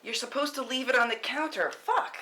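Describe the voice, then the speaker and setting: A woman's voice: sits high, brisk, sharp tone. A woman acting a blunt, sweary but loyal and self-deprecating friend in a conversational script read, recorded in a noisy room. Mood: annoyed, sweary.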